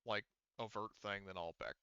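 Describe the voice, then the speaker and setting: A man running a tabletop game as game master, explaining rules casually in a halting, self-correcting way. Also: on the low side, lively intonation, pushed and bright, clear, resonant tone, no echo, muffled recording.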